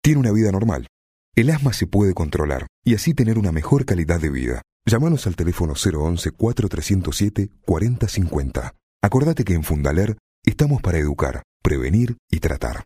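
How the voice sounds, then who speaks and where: A man's voice: deep voice, very animated, fairly quick, dark tone, slightly rough, no echo, clean studio sound. A man with an Argentine accent reading a scripted public service announcement.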